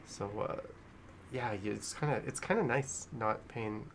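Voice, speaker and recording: A man hosts a live stream in an easygoing, conversational way, moving between commentary and reading viewers' messages aloud. His voice sits at an average pitch, with some faint ambient noise behind it. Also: unhurried. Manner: chatty, informal, reads out viewer messages. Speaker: livestream host.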